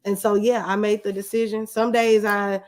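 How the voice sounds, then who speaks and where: A woman's voice: mid-range voice, little pitch movement, normal speed, darkish tone. A woman giving casual, plain-spoken, conversational answers as a guest in an interview.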